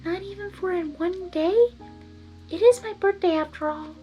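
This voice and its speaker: Woman plays young female cartoon pony, high gentle voice, earnest, pleading, quietly stubborn. Music underneath. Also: normal speed, dull audio.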